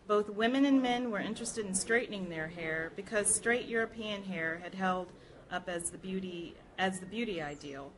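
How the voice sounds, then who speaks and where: Lady reading nonfiction out loud, measured n formal, medium pitch, slight noise on it. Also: poor audio. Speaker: reader at a library program. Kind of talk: reading aloud from a history book.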